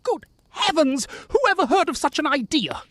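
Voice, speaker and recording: Woman playing a high-pitched, blustering police prefect. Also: big pitch swings, moderate pace, a bit bright, dry recording. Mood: amused, scoffing. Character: blustering, easily amused male police prefect. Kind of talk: audio drama acting.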